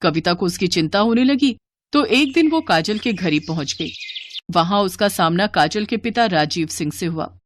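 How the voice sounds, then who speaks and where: A woman's voice: fairly low pitch, expressive, moderate pace, slight background noise. A woman narrating a scripted moral story as a storyteller.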